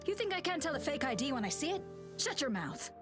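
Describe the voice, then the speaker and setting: A woman playing a brisk, bossy patrol captain in a video game, speaking with authority. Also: high voice, big pitch swings. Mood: irritated. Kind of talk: scripted video game voice acting. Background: game music.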